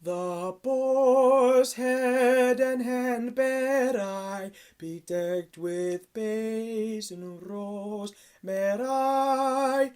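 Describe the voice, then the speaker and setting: A woman playing a ceremonial herald at a feast, reciting in a measured, declamatory way. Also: fairly high pitch, darkish tone, clean tone, soft background music. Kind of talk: slow festive recitation and carol.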